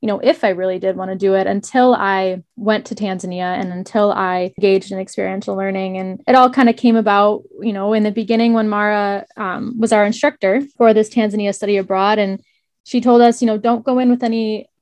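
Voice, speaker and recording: A young woman in her twenties shares her story as an interview guest. She sounds earnest and reflective, with a few small hesitations. Her voice sits at a middle pitch, and the recording is dry and close, with no room sound. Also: fairly even intonation, a little fast, darkish tone, no echo. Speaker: student guest.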